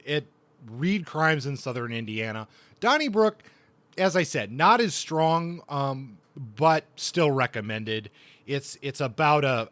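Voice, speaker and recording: A man hosting a casual book review podcast, speaking in a thoughtful, halting way with repeated words. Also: medium pitch, fairly bright tone, no echo.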